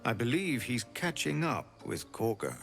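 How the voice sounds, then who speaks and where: A man's voice: mid-range voice, big pitch swings, unhurried, vocal fry, a bit bright, full character voice. An actor playing a man at a camp who passes on news, in video game dialogue. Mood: matter-of-fact. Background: music.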